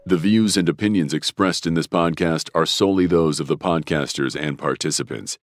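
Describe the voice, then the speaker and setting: A man reading a formal, measured scripted disclaimer as an announcer. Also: extremely low pitch, no room sound.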